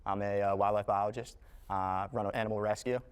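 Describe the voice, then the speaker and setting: A man's voice: lowish voice, level tone, fast, smooth. A man in his twenties introducing himself on a dating show in a hesitant, earnest, casual way. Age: 20s. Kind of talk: casual self-introduction and chat.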